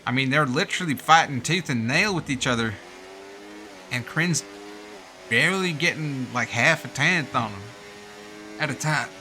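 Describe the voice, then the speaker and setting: A man's voice: medium pitch, lively intonation, moderate pace, pauses often, sharp tone, slight background noise. A man doing live sim-racing commentary in a put-on American accent, informal and slangy, with casual asides. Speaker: race commentator and streamer.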